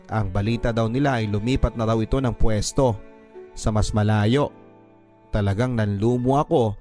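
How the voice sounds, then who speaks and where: A man's voice: mid-range voice, a little mellow. Man narrating an emotive radio drama over music. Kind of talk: dramatic first-person story narration. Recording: music bed behind the voice.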